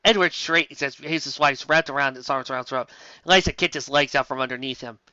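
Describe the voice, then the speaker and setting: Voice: medium pitch, fast, sharp tone, clean tone, no echo, voice pushed down, close to an everyday voice. A reader playing a melodramatic, self-important young heroine narrating a story with solemn, overwrought delivery, slightly lo-fi. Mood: grim, dramatic.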